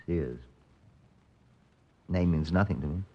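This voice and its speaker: An actor playing a sober, curt Scotland Yard chief inspector, in old-time radio police drama style. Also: male voice, deep voice, slow pace, lo-fi sound, exaggerated voice. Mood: dry, impatient. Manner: clipped, matter-of-fact.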